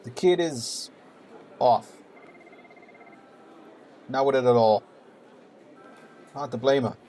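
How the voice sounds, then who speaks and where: A man's voice: medium pitch, takes it slowly, dark tone, close to an everyday voice. A man playing a grim, weary police detective in a radio play, speaking in dramatic dialogue. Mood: grim, urgent. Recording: clear hum and background noise.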